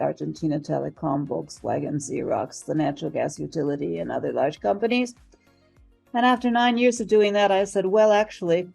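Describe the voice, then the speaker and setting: An older woman with an American accent, answering interview questions in an articulate, measured way, pausing now and then to find words. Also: fairly low pitch, gravelly, warm tone. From United States. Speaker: interview guest, marketing professional and professor. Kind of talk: interview answers, career account.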